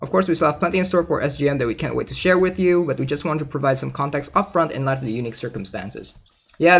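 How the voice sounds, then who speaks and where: A man's voice: medium pitch, vocal fry. A man casually reading aloud and commenting in an informal, chatty way, like a gaming content creator. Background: background music.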